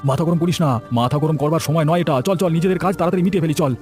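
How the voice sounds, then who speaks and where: A man's voice: a little high, racing, darkish tone, faint music behind, natural voice. A man voicing a scheming village accomplice in a cartoon folk tale, urging and conspiratorial. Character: scheming, cautious village accomplice, easily riled.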